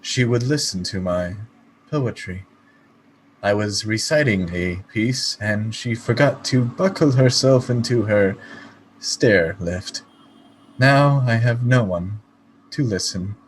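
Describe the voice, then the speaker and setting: A man playing a melancholy, polite android poet in a radio play, speaking in a stilted, formal, halting way. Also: on the low side, a little hiss or hum, poor audio. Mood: wistful. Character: melancholy, polite male android poet, fast-food worker.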